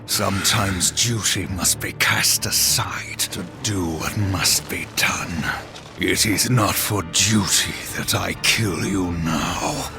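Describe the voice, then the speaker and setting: A grave horseman warrior, speaking in a deep, low voice with very slow, measured words. Each line is terse and heavy, set against a loud orchestral game score. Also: music in the background. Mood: grim. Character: grim, honor-bound male horseman warrior. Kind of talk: video game cutscene acting.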